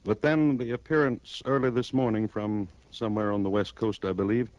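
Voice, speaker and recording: A man's voice: on the low side, steady tone, brisk, a little mellow, lightly acted. An actor playing a stern, cold-blooded male judge in a 1950s radio drama, speaking formally and with clipped authority. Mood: stern, explaining. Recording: dull, dry vintage radio recording.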